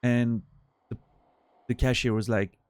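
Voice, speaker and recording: A man's voice: mid-range voice, steady tone, measured pace, a little mellow, dry recording. A man around forty from French-speaking Switzerland, with a Swiss French accent, chatting loosely with his stream chat as he rambles and trails off. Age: 40s. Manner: rambling, self-deprecating, trails off with fillers.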